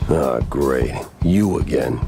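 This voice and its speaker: A world-weary, hard-boiled game detective, with an extremely deep voice and slow delivery. He is sardonic and deadpan, like a veteran who has seen too much. Music plays prominently underneath. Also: creaky, exaggerated voice. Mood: wry. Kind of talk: noir game narration and dialogue.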